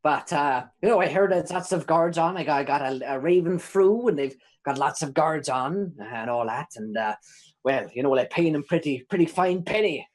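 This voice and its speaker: A man's voice: on the high side, normal speed, lightly acted. A man voicing a chatty, cheeky imprisoned informant who rambles and stammers in old-timey folksy phrasing. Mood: rambling.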